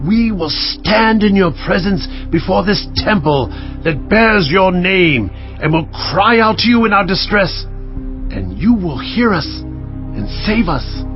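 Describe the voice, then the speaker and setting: Devout ancient king, declamatory and earnest, higher male voice, slightly muffled audio over music. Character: pleading, devout king of Judah praying before his people. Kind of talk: dramatized audiobook acting.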